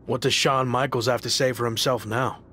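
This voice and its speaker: A man's voice: mid-range voice, fairly even intonation, normal speed, a bit bright, slight background noise, natural voice. A man playing a determined pro wrestler in a video game cutscene, dry and understated in manner. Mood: resolute. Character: determined, dry-witted male pro wrestler leading a team.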